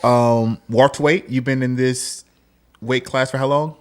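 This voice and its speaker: A man's voice: medium pitch, steady tone, a little slow, smooth. A man co-hosting a podcast and interviewing the guest, casual and affirming. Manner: affirming, asks guest questions with many "okay" and "yep". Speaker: podcast co-host, boxer.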